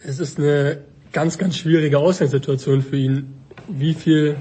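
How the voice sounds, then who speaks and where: Husky, mellow man giving football opinions. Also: fairly high pitch, flat delivery, measured pace. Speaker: panel guest. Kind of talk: casual football debate.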